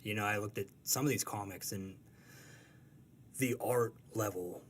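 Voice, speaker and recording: Low-voiced rambling man, casual chat. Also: measured pace, slight background noise. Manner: rambling, self-correcting, relaxed and laughing easily. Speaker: cartoonist guest. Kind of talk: casual conversational interview.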